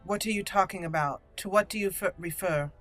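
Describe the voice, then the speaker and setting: A woman role-playing a proud, dutiful young noblewoman raised among dwarves, speaking formally and deliberately as game dialogue. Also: fairly low pitch, soft background music, lightly acted. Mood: disbelieving.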